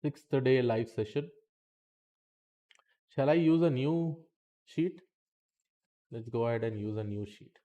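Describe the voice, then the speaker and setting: A man's voice: mid-range voice, slow pace, pauses often, mellow, muffled recording. A man teaching statistics in a live-streamed lesson, chatty and talking to the chat between points, saying okay often.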